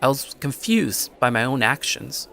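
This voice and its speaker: A man playing an anxious, introspective high school boy who narrates his own story. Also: sing-song, a little slow, creaky, pushed and bright, heavily acted. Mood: nervous. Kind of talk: first-person scripted story narration. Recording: music under the voice.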